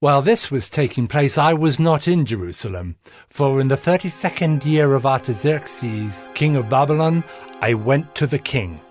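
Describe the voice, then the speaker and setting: Stern, emphatic actor playing zealous biblical governor, animated. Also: male voice, mid-range voice, normal speed, faint music behind, close to an everyday voice. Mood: solemn, recounting. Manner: emphatic, declamatory. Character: Nehemiah, stern zealous male governor of ancient Judah. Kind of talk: dramatized scripture narration.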